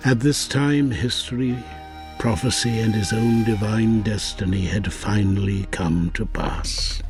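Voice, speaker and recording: Slow, low male narrator over loud music. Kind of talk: solemn scripted dramatic narration.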